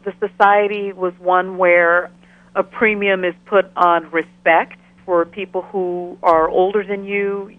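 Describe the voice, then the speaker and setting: A middle-aged woman from Ghana speaks over a thin, phone-like line with a dry sound and no room around it. She talks in a measured, thoughtful way and often restarts her phrases as she answers. Her pitch sits in the middle of the range. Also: no echo. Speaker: interview guest, biochemistry professor. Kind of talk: telephone interview. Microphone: telephone.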